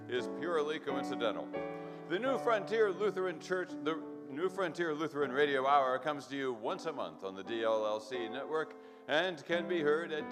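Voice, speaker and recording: A man reads a formal radio sign-off in a slightly high, swooping announcer's voice. His delivery is mock-formal and emphatic, in the manner of an old-time variety show. Loud music plays behind him. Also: big-room sound.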